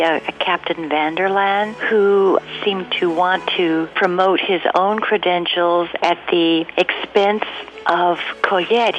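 Low-voiced woman explaining history, dull recording. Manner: hesitant, searching for words, measured phrasing. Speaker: interviewed researcher and radio drama writer. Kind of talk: unscripted explanatory interview.

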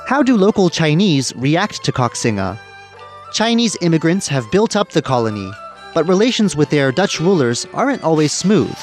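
A man's voice: on the high side, very animated, normal speed. A man hosting a radio history programme, reading scripted documentary narration. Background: background music.

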